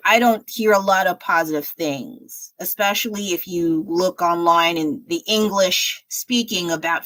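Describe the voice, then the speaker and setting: Bright-voiced, chatty young American woman. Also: fairly low pitch. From United States. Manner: chatty and frank, laughs often, thinks aloud. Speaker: livestreaming artist. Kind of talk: rambling livestream chat while painting. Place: home at night.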